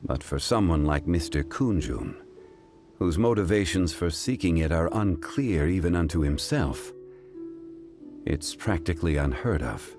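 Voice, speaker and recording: A man voicing a calm, courteous and learned gentleman in an anime-style video game, speaking in a measured, formal way. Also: low-pitched, music in the background. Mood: thoughtful, explanatory. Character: composed, scholarly, ancient-souled gentleman consultant, calm and courteous.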